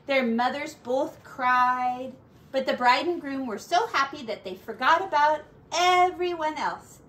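A middle-aged woman reading a picture book aloud to children like a teacher, with deliberate, drawn-out words and little asides. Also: high-pitched, a bit bright. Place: small furnished room at home.

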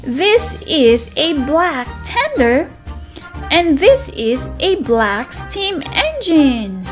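A woman teacher reading a picture book aloud to toddlers in an animated, sing-song manner, with simple repeated words and questions. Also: high-pitched, drawn-out words. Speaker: children's teacher and read-aloud host. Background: background music.

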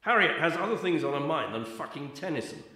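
A man's voice: a little high, sing-song, brisk, pushed and bright. A man acting a stern, impatient scientist with a curt manner in a radio drama. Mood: irritated. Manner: brusque, curt. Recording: echoing, cave-like reverberant sound.

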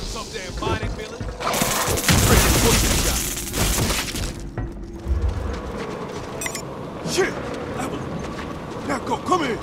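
An actor playing a gruff, profane soldier barking orders in a video game cutscene. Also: male voice, very high-pitched, expressive, normal speed, heavy background noise. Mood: angry, frustrated. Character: gruff, foul-mouthed male soldier, urgent and battle-hardened.

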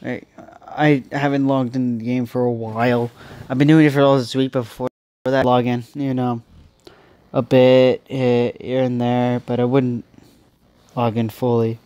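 A man's voice: mid-range voice, level tone, measured pace, warm tone, clear, resonant tone, dry recording. A young man with a stuffy nose giving rambling, casual commentary while playing a mobile game. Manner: rambling, thinking aloud with many restarts. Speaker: gaming channel host.

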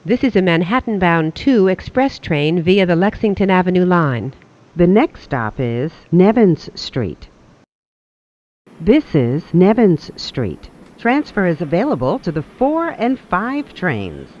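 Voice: mid-range voice, big pitch swings, takes it slowly, darkish tone. Transit announcer, dull lo-fi recording. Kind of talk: scripted subway train announcement. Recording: dull, lo-fi audio.

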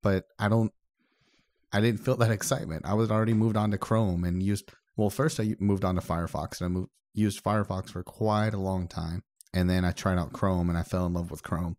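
A man's voice: deep voice, steady tone, brisk, a little mellow. A man co-hosting a podcast, discussing tech casually in a conversational, explanatory way. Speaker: podcast co-host.

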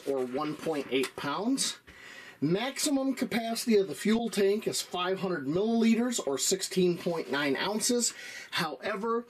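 The man at the workbench in an online gear review, slowly and plainly walking viewers through each part in a folksy way. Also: fairly high pitch. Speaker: product reviewer. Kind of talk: casual hands-on product review.

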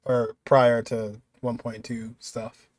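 A man in his twenties talks through a video as he watches it. His voice sits at a middle pitch, and his delivery is casual, off-the-cuff commentary from a reaction host. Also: level tone, takes it slowly, a little mellow, no room sound.

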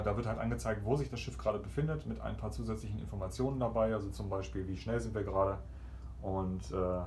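A man's voice: on the low side, darkish tone. A man speaking off the cuff in a casual, explanatory way, filming by hand in a small indoor cabin as he shows how something works. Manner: explanatory, improvising while operating the screen. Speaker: travel vlogger presenting a cabin TV system. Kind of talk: casual unscripted walkthrough commentary. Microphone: handheld camera or phone, behind the lens. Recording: clear background room noise.

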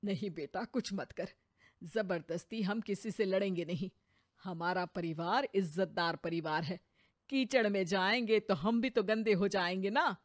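Woman acting a slow-spoken cautious mother-in-law. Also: medium pitch, dry recording, clean studio sound, lightly acted. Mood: cautious, advising. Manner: emphatic, advising. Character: cautious middle-aged mother-in-law, exasperated but protective. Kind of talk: Hindi cartoon story acting.

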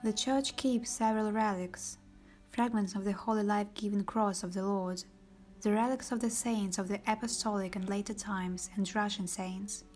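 A woman narrates a documentary in a steady, measured reading style. Her voice sits at an ordinary middle pitch. Soft music plays faintly beneath her studio voice-over.

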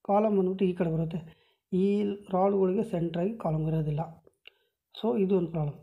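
A woman's voice: fairly low pitch, fairly quick, phone-quality sound. A woman explaining a house floor plan step by step, pointing with a pen at paper drawings on a table, recorded on a phone held over the page. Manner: plain step-by-step explanation, many measurements.

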